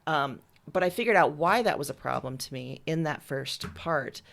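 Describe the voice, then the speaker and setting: A woman's voice: low-pitched, animated, normal speed, creaky. A woman giving casual, candid interview answers as a writer, talking informally. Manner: candid, informal, occasional swearing. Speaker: interviewed writer and audio drama creator.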